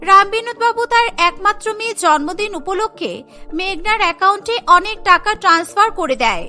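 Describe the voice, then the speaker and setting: Cartoon narrator: woman, high and bright, clear even telling. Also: fairly quick, faint music behind. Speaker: narrator and lead voice actor.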